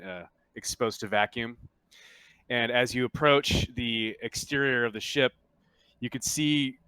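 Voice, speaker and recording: A man running a tabletop roleplaying game as game master, narrating in a halting, self-correcting way. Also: mid-range voice, pushed and bright, clear, resonant tone, rough recording.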